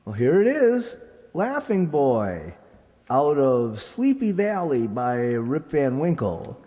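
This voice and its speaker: A man's voice: medium pitch, huge pitch swings, dark tone. A man playing a slangy, scheming small-time gambler boyfriend with an American accent, in old-time radio comedy style. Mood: sardonic. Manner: slangy wise guy, drawn-out delivery. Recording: echoey hall recording.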